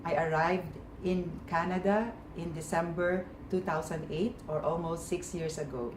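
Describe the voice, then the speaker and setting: A woman from the Philippines tells a personal story in a calm, reminiscing way. Her voice sits fairly low, and the recording has a roomy echo with faint background noise. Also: unhurried. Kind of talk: personal story told to a group.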